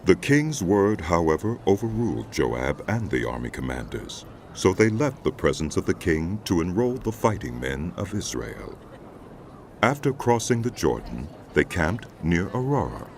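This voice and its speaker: Deep-voiced male narrator with expressive, sweeping pitch. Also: slight background noise. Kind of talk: scripted dramatized audio Bible narration. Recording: music behind the voice. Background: background music.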